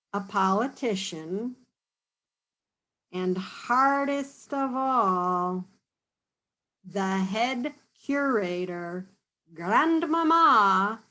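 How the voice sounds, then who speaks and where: Slow, teacherly woman reading aloud. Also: mid-range voice, rough recording. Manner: gentle, questioning, teacherly. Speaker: storytime reader. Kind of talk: children's picture book read-aloud with explanations.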